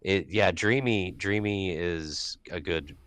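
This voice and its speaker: A man's voice: deep voice, slow, fairly bright tone, clean tone, no room sound. A man co-hosting a podcast, talking casually about music in a laid-back way and explaining songwriting terms. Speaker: guest co-host.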